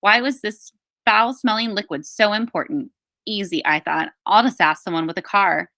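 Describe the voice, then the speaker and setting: A woman reading a story aloud as scripted narration, in an expressive, emphatic storytelling manner like a teacher with a class book. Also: medium pitch, moderate pace, gravelly, dry recording. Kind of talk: scripted audiobook-style narration of a children's memoir.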